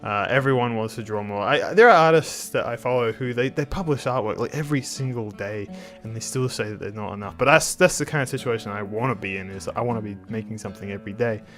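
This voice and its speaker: A man's voice: fairly low pitch, fast, few pauses, fairly bright tone, faint music behind. A man hosting his art channel in casual talk over a speed drawing, a bit nasal and under the weather, rambling with many restarts. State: slightly sick.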